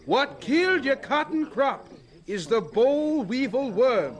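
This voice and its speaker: An earnest professor in a radio drama. His voice is very high for a man and swoops widely as he makes his case. The delivery is slow, deliberate and fervent. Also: sing-song, exaggerated voice. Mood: urgent, excited. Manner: emphatic, deliberate, pleading. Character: earnest, fervent agricultural professor urging farmers on. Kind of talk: old radio drama acting.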